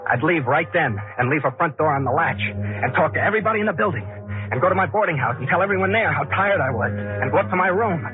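A man's voice: on the high side, animated, fast, natural voice. An actor playing an anxious, scheming man plotting murder, confiding colloquially in 1940s radio suspense style. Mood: brooding, confiding. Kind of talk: 1940s radio suspense acting, first-person narration. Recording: muffled 1940s radio, music underneath.

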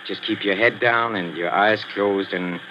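Sinister old trainer, played by a man: soft and ingratiating, slow with giggles, 1940s radio, hissy and dull. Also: on the low side, fairly even intonation, lightly acted. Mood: fawning. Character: sinister, fawning old male boxing trainer.

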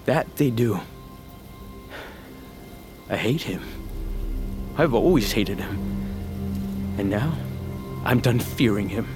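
An actor playing a wounded, bitter young noble son in a fantasy audio drama, earnest and brooding, with halting delivery. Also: male voice, on the low side, expressive. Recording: music under the voice.

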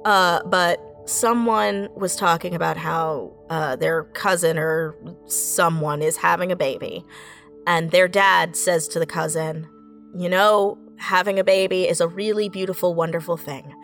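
A woman's voice: fairly low pitch, steady tone, unhurried, vocal fry, fairly bright tone. A woman speaks as a writer on a discussion panel, reflective and encouraging. She leans on key phrases and repeats them with earnest emphasis. Faint music plays behind her voice. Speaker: audio fiction writer on a panel.

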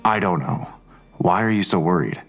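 A man's voice: low-pitched, animated, moderate pace, fairly bright tone, soft background music. A man playing a weary, skeptical investigator, sardonic and dismissive, in a naturalistic audio drama. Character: Blossom, weary skeptical male investigator, a father.

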